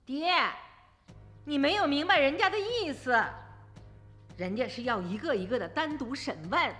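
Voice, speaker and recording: Sharp-tongued farmer's wife, high bright voice. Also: female voice, animated, moderate pace, long reverb tail, faint music behind. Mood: indignant. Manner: pointed, outspoken. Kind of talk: radio drama dialogue.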